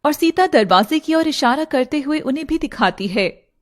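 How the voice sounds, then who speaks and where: A woman's voice: high-pitched, expressive, moderate pace, background noise. A woman narrating a scripted story in a dramatic storytelling style.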